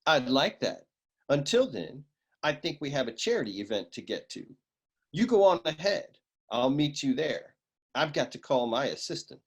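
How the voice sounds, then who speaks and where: Male actor as wisecracking noir gumshoe: husky, very animated pitch, slangy deadpan tough-guy lines for radio comedy. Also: moderate pace. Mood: smooth, reassuring. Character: wisecracking hardboiled male private eye. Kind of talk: humorous noir radio serial acting.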